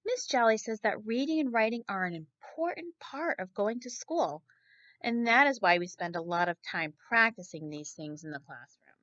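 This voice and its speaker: A woman's voice: mid-range voice, lively intonation, normal speed, a bit bright, no echo, muffled recording. A woman reading a children's picture book aloud like a teacher, expressively, often turning to ask her young listeners questions. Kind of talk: children's story read-aloud with asides to listeners.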